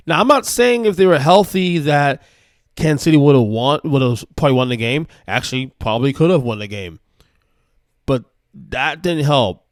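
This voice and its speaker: Male podcast host, early forties, mid-pitched, chatty and loose, often restarting his sentences. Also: dry recording, studio quality. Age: 40s. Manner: rambling, self-correcting, chatty. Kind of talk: casual solo sports talk.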